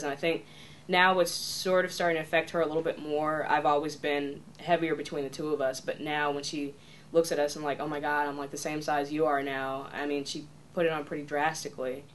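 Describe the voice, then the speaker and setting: A woman's voice: low voice, level tone, fairly quick, a little hiss or hum. A woman in her twenties introducing herself for a casting tape, chatty and earnest, peppering her talk with "you know" and "like". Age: 20s. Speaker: casting applicant.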